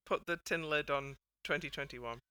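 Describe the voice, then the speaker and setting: A woman's voice: low voice, normal speed, bright, forceful tone, clean tone, no echo. A British woman hosting a casual panel chat with a wry, dry sense of humour. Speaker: podcast host.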